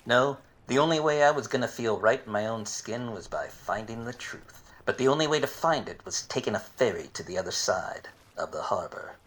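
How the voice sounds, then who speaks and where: A man plays a hard-boiled detective in the style of a 1940s radio play. He is wry and world-weary, tossing out wordy wisecracks in a mid-pitched voice. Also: expressive, moderate pace, hardly any pauses, a bit bright, natural voice. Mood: brooding narration.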